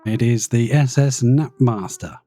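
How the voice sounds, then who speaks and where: A man casually commenting on his live gameplay, chatty and thinking aloud as he plays, his voice slightly croaky after being ill. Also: mid-range voice, a little slow, mellow. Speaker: live streamer.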